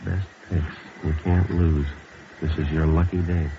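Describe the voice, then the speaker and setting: A man playing a terse, stoic American police sergeant in a 1950s radio drama, narrating and talking in a clipped, deadpan way. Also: low voice, unhurried, noisy background. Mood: dry. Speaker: narrator and lead detective. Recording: dull vintage radio recording with music.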